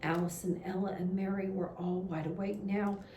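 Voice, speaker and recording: A woman reading a children's storybook aloud, deliberate and a little halting, repeating words. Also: medium pitch, little pitch movement, dark tone, far from the mic. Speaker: read-aloud reader. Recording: echoey room with background noise.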